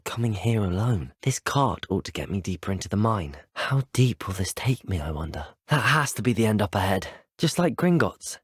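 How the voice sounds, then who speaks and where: Game protagonist: young British student wizard, well-spoken, polite, eager to know more; expressive pitch, lightly breathy grain. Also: male voice, no echo, clean studio sound, exaggerated voice. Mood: focused, determined. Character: polite, earnest, inquisitive teenage male student wizard. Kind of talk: scripted video game dialogue voice lines.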